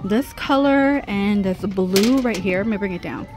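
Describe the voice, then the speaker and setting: A woman's voice: medium pitch, unhurried, music in the background. A middle-aged woman vlogging a casual shopping trip, chatting and reading out prices as she browses a busy store. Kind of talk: casual shop-with-me narration. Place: busy discount clothing store.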